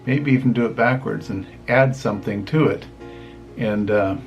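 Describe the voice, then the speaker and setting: A man's voice: lowish voice, moderate pace, lo-fi sound, soft background music. An older man, a grandfather talking to children in a folksy, rambling, casual storytelling way. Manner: folksy, rambling, often saying "anyway". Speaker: grandfather reading picture books to children.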